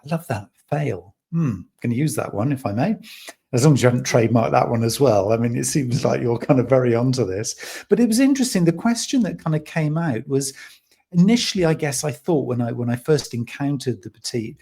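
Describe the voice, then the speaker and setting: A man's voice: mid-range voice, animated, fairly quick, vocal fry, dark tone, slightly rough. A man hosting a podcast, enthusiastic and chatty, asking long rambling questions. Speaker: podcast host. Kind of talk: casual interview hosting.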